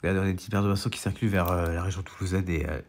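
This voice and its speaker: A man's voice: sits low, steady tone, racing, slight background noise. Stuffy-nosed guy with a cold. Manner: rambling, repetitive, full of restarts; grumbling about being sick. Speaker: storm chaser and weather vlogger. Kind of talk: casual screen-share walkthrough.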